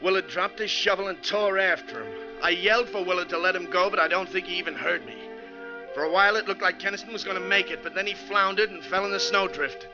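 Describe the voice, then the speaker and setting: A man's voice: high-pitched, fast talker, lo-fi sound, over music. A man playing a wry, tough bus driver who narrates his own story in 1940s radio drama style. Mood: urgent. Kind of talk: 1940s radio acting, first-person narration. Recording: thin, band-limited 1940s radio recording.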